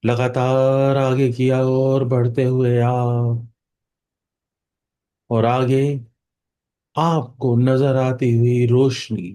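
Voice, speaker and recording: A man with an Indian accent guides a meditation at an extremely unhurried pace. His voice is mellow and relaxed, with a middling pitch. He speaks soothingly, leaving long pauses between short phrases. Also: clear, resonant tone.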